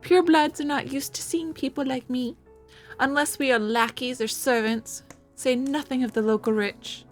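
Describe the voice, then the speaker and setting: A woman's voice: high voice, steady tone, moderate pace, faint music behind, lightly acted. A woman voicing an anxious, coy young newlywed noblewoman in a visual novel, in a theatrical manner with a wandering put-on accent. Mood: indignant.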